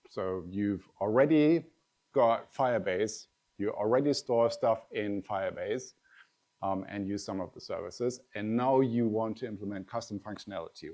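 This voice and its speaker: A middle-aged man whose first language is German, giving a lively, conversational tech conference talk and addressing the audience directly. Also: on the low side. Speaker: conference speaker.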